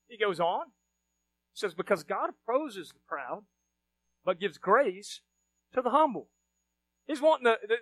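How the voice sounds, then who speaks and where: A middle-aged preacher with a strikingly high voice, swinging from hushed asides to loud, emphatic bursts. He keeps throwing rhetorical questions at the congregation. The sound is close and dry, with no room around it. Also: dry recording, poor audio. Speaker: pastor. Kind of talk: sermon.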